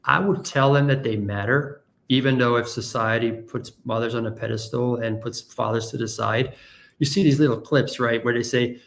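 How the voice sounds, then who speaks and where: German guy w/ light accent, gravelly voice, rambly earnest podcast guest. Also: mid-range voice, fairly even intonation, a bit bright. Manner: earnest, rambling, quick asides. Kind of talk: casual interview chat.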